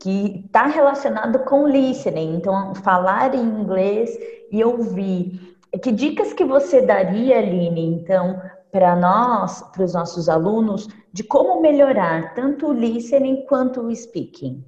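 A woman's voice: mid-range voice, expressive, a little slow, a little mellow, lo-fi sound. Agreeable woman interviewer, hall echo. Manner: agreeable, frequent short affirmations. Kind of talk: casual interview.

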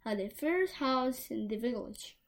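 A woman's voice: fairly high pitch, animated, a little slow, voice pushed up. A woman voicing an innocent, polite little country girl in a fairy tale, with a sing-song sense of wonder.

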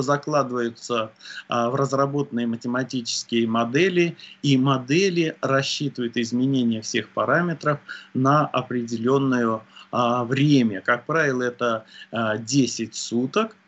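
Man, meteorologist guest, measured explaining, hesitant, dull lo-fi sound. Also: mid-range voice, fairly bright tone. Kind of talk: explanatory interview answers.

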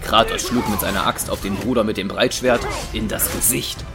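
A man's voice: fairly low pitch, steady tone, moderate pace, over loud music, a loud crowd, close to an everyday voice. A man as the narrator of a heroic saga, reading scripted, solemn audio drama narration. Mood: measured.

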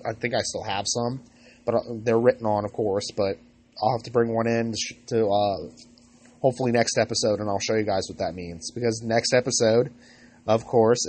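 Man, congested with a cold, medium pitch, podcast host, informal advice, halting and rambling, frequent self-corrections. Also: darkish tone. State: recovering from a cold.

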